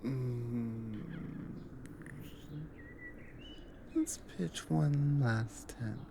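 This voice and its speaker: A woman voicing a tender, teasing, dominant giant Nord barbarian wife in an intimate ASMR roleplay, in a slow, breathy murmur with soft hums. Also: a bass voice, noisy background, voice pushed down, exaggerated voice. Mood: flirtatious.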